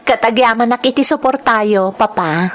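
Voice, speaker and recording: An actress playing a dutiful, respectful young daughter and new teacher in an Ilocano radio drama, speaking politely with a Filipino accent. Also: female voice, on the high side, moderate pace, lightly acted. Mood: earnest, glad. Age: young adult.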